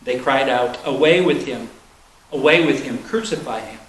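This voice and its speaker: A man's voice: mid-range voice, normal speed, a bit bright. Male scripture reader, solemn scripted delivery, echoey room with a faint noise underneath. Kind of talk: solemn scripted reading of a Bible narrative. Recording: roomy echo, faint background noise.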